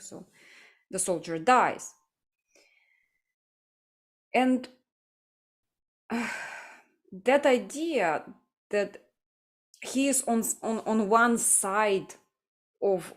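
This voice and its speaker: A woman from Ukraine hosting a livestream discussion, speaking earnestly and haltingly as she searches for her words. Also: mid-range voice. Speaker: livestream host. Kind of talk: casual discussion.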